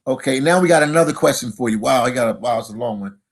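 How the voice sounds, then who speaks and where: A man's voice: medium pitch, fast. A man hosting a casual live stream, reading viewer comments aloud and chatting.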